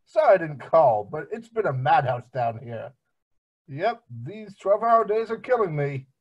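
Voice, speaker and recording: Casting: man playing dim, amiable cartoon dad, husky and lively, bumbling, on a muffled video call. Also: a little high, normal speed. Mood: flustered. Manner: bumbling, rambling. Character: dim, amiable male working-class family man. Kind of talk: amateur table read of a cartoon comedy.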